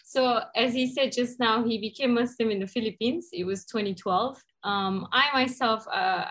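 A woman's voice: a little high, normal speed, a bit bright. A woman from Malaysia, a podcast guest speaking candidly and reflectively about her life. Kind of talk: conversational interview storytelling.

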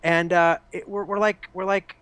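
A man's voice: sits high, level tone, normal speed, fairly bright tone, smooth, dry recording. A man in his forties, a games journalist guest, chatting casually and rambling through stories with lots of restarts and self-corrections. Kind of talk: casual podcast chat.

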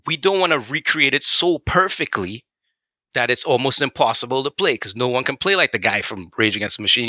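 A man's voice: medium pitch, pushed and bright, no room sound, dull audio. A man from Trinidad, a game audio designer, talking casually and animatedly with many fillers in an interview.

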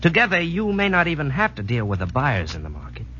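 An actor playing an earnest, jittery young American soldier in 1940s radio drama style, excitable and prone to stammer. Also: male voice, on the high side, fast talker, heavily acted. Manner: excitable, emphatic, stammering when nervous. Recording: dull, noisy vintage radio recording.

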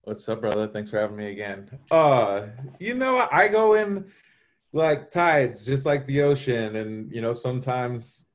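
A man speaking as a podcast guest in a relaxed, conversational way. He explains things plainly, one step at a time. His voice sits at an ordinary middle pitch. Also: measured pace. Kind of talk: casual conversation.

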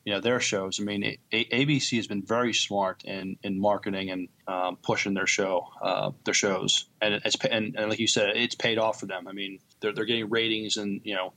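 A man co-hosting a podcast with casual news chat, haltingly repeating words as he goes. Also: lowish voice, flat delivery, sharp tone, poor audio. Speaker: podcast co-host.

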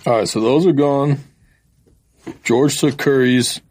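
A man's voice: mid-range voice, steady tone, slow pace, a little mellow. A man in a casual chat among friends, speaking in short, confident, dry comebacks.

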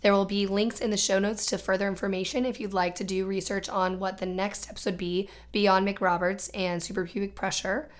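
Hoarse woman hosting a medical podcast. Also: medium pitch, little pitch movement, normal speed, hardly any pauses. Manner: clear explanatory delivery. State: hoarse, lost voice from a cold. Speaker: podcast host.

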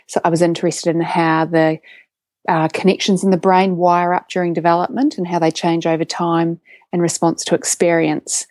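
A woman's voice: lowish voice, darkish tone, no room sound. A woman in her forties with a New Zealand accent, a guest chatting eagerly in an interview with many ums and restarts. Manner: enthusiastic, with frequent restarts and ums. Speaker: guest, neuroscientist and science communicator. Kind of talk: conversational interview.

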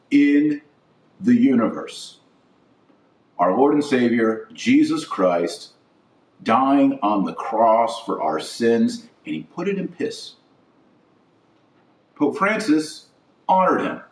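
Slow, emphatic man preaching in a roomy echo. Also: on the low side, recorded from a distance. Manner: indignant, emphatic, preachy, with drawn-out stressed words. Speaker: podcast host and religious commentator. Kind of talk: opinionated religious commentary to camera or podcast audience.

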